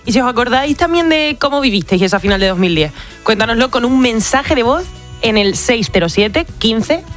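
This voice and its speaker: A woman's voice: fairly high pitch, animated, normal speed. A woman with an accent from Spain co-hosting a radio show and presenting listeners' messages in casual chat. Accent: Spanish from Spain. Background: background music.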